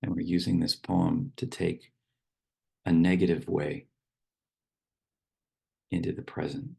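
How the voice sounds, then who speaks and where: A man guides a meditation in a low voice heavy with vocal fry. His delivery is slow and unhurried, with short phrases set apart by pauses. The tone is husky and grainy, suited to reading verse as part of the practice. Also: flat delivery, a little mellow. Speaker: meditation teacher.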